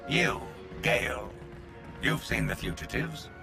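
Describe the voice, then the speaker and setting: A man playing a slow, threatening enforcer with a deep gravelly voice in a video game. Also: wildly swooping pitch, full character voice. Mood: cold menace. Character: menacing male enforcer hunting fugitives. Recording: loud music behind voice.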